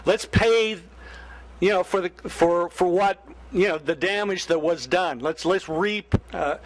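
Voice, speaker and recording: Male panelist, impassioned, fillers and restarts, slightly high voice. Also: measured pace. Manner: passionate, many fillers and restarts, rallying calls. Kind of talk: public forum remarks.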